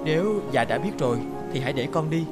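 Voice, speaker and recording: A man's voice: on the high side, expressive, fast talker, close to an everyday voice. A man voicing a determined young village man in a cartoon, earnest and respectful. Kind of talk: cartoon voice acting. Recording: voice under very loud music.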